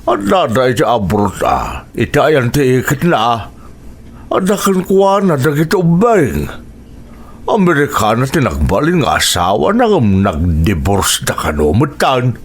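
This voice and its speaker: An actor with an Ilocano accent playing an older, talkative village husband in a radio drama. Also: male voice, medium pitch, huge pitch swings, a little slow, breathy edge, exaggerated voice. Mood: chatty.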